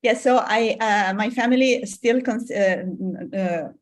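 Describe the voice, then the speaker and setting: A woman's voice: a little high, unhurried. A woman in her forties with an Italian accent, a guest in a casual interview who speaks hesitantly with many fillers and frequent laughs. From Italy. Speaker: guest, business coach.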